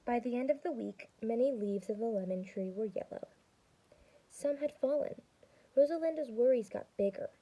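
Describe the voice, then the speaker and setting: A young adult woman reading a storybook aloud to children as a student, expressive and pausing to explain things. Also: fairly high pitch, dull audio. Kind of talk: storybook read-aloud with teaching asides.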